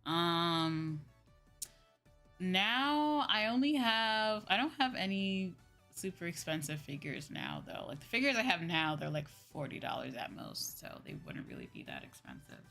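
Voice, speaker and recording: Bright-voiced young woman streamer. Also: on the low side, big pitch swings, a little slow, creaky. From United States. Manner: slangy, chatty, exclamatory. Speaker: VTuber livestreamer. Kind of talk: casual livestream chat. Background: background music.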